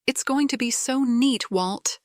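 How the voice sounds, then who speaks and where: A bossy young girl from a children's storybook, acted by a woman, grumbles and exclaims her way through each line. She is an exasperated big sister with a caring streak, unhurried and closely, dryly recorded. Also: sits high, dry recording, studio quality, pitched above the performer's own voice. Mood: excited.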